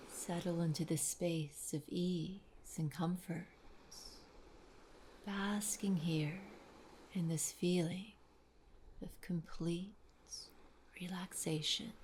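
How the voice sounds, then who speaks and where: A woman leading a guided meditation in a soft, soothing, breathy voice with gentle pauses. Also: lowish voice, fairly even intonation, slight background noise. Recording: voice over clear wave sounds. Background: ocean waves.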